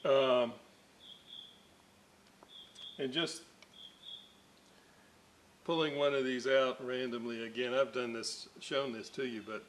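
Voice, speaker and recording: Man, very slow unhurried talk, middle-range voice, rambling with fillers, workbench narration, faint background hiss. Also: medium pitch, fairly even intonation. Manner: rambling, many fillers and side stories. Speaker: electronics restoration hobbyist host. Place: electronics workbench indoors.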